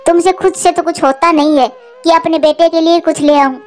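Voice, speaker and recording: A woman voicing a sharp-tongued, doting mother crow who nags indignantly in a cartoon. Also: extremely high pitch, fairly even intonation, brisk, soft background music. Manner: indignant, nagging. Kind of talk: Hindi cartoon voice acting.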